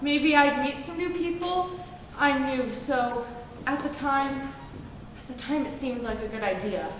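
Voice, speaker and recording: A shy new student, played by a young woman speaks quietly and hesitantly in a high voice, taking her time. The sound comes from far off in a big hall, with a long echo and steady hiss. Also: unhurried. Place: stage in a large hall.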